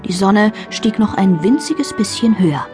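A woman's voice: mid-range voice, lively intonation, moderate pace. Female storyteller narrating over music. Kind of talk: scripted children's audiobook narration.

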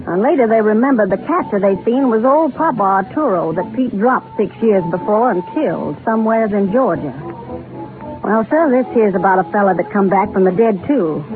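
An actress playing an older, folksy Southern carnival owner who rambles and confides like a storyteller in a 1930s radio drama. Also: female voice, mid-range voice, expressive, normal speed, natural voice. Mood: confiding. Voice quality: folksy, rural speech. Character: folksy aging Southern carnival show owner, wistful, garrulous woman. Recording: tinny scratchy 1930s radio broadcast. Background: music.

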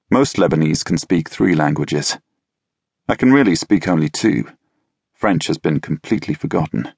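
Low husky guy narrating an audiobook, dry and deadpan, super close dry studio sound. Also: no room sound. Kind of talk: first-person scripted narration. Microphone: close studio mic.

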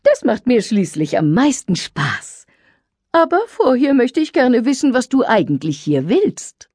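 A woman playing a friendly, proud music witch in a children's audiobook, explaining things in an unhurried, teacherly way. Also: a little high, very animated, a little mellow, slightly rough, dry recording, clean studio sound, heavily acted. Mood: willing, inquisitive.

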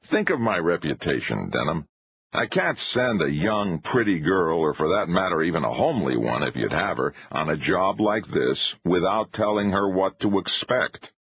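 A man's voice: low voice, very animated, normal speed, gravelly, slightly rough, no echo, lo-fi sound, exaggerated voice. A man voicing a cautious, wry theatrical agent who reasons reluctantly, in audiobook dialogue. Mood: reluctant, wary.

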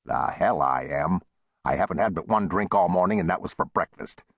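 A man's voice: deep voice, animated, normal speed, creaky, slightly rough, no room sound, studio quality, heavily acted. A man voicing a wry, easygoing old frontier saloon owner with folksy, plain-spoken talk in a western audiobook. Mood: dry, exasperated.

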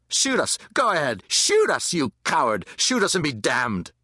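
A man voicing defiant young colonial rioters in an audiobook, shouting taunts. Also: a little high, wildly swooping pitch, measured pace, breathy edge, no echo, clean studio sound, pitched above the performer's own voice, heavily acted. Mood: defiant taunting. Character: jeering, defiant colonial street boys and rioters.

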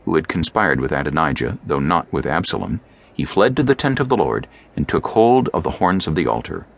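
Very deep, gravelly male scripture narrator. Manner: measured, solemn storytelling.

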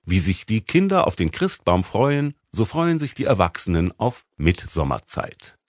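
Deep-voiced man narrating with expressive range. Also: unhurried, no room sound, studio quality. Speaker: audiobook narrator. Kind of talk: scripted literary narration.

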